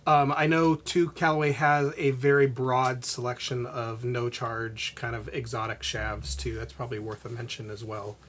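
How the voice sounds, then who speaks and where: A man's voice: mid-range voice, little pitch movement, normal speed, hardly any pauses, a bit bright. A man hosting a podcast in a casual, conversational style. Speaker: podcast host. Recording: noticeable background noise.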